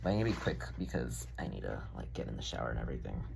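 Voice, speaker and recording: A man's voice: very deep voice, very animated, very fast, gravelly, noisy background. A young man rambling casually in a diary-style vlog, full of fillers, swearing and self-deprecating asides. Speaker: vlogger.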